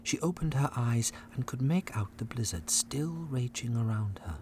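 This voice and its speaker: A man narrating a story dramatically, building suspense with short weighted phrases and pauses. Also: mid-range voice, animated, a little hiss or hum. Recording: loud storm ambience behind narration.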